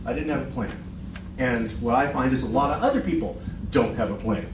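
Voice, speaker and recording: A middle-aged man giving a short business pitch in a meeting room, chatty and self-deprecating, with many restarts. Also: fairly quick, noisy background. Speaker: business presenter. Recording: echoey room, far from the mic.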